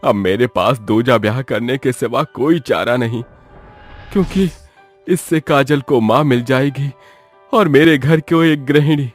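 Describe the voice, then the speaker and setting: A man's voice: mid-range voice, measured pace, soft background music, natural voice. A man voicing a worried, middle-aged widowed father in a cartoon story, earnest and troubled. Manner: earnest, troubled, speaking firmly.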